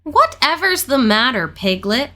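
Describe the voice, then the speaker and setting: A woman voicing a calm, kindly, confident young boy in a children's storybook, reassuring and matter-of-fact. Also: high-pitched, unhurried, exaggerated voice. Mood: calm, concerned. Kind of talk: children's storybook character reading.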